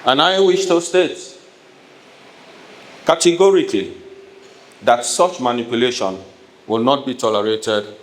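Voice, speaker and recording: A Sierra Leonean man addresses a town hall in formal, emphatic oratory. His mid-pitched voice rings around an echoey room, with some faint noise behind it. He speaks in deliberate, measured phrases, as a politician reading a prepared address. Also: some chatter behind.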